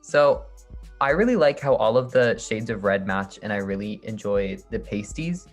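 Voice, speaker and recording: A man's voice: lowish voice, lively intonation, normal speed, soft background music. A man hosting and judging a makeup competition in an upbeat, chatty way. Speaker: judge and host.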